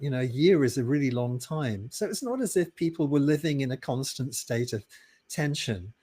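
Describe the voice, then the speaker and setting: A middle-aged man with a British accent talks through his answers at a steady, unhurried pace. His voice sits at an ordinary middle pitch, and he tells his stories thoughtfully, sometimes circling back to rephrase a point. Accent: British English. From Britain. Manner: thoughtful storyteller, steady and measured. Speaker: podcast guest, author. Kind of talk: conversational interview answers.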